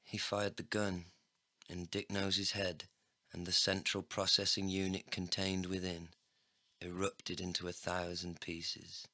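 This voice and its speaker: Male narrator, low and gravelly with a hard bright edge, expressive comic storytelling. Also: unhurried, dry recording. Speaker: narrator and lead character. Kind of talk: scripted first-person comedy story narration.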